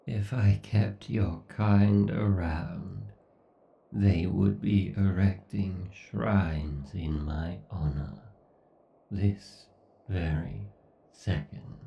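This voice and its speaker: A man voicing an arrogant, obsessed, sadistic AI god in a horror roleplay, pompous and menacing, with theatrical pauses. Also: sits low, fairly even intonation, gravelly, echoey room, far from the mic, lo-fi sound, full character voice. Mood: arrogant, contemptuous. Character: obsessed, sadistic, arrogant godlike male AI villain. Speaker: voice actor. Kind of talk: horror ASMR roleplay monologue.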